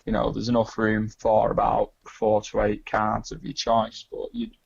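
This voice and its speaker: Man, co-commentator, gravelly voice, muffled lo-fi call audio, relaxed, thinks aloud. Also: on the low side, normal speed, no echo. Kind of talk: casual live commentary.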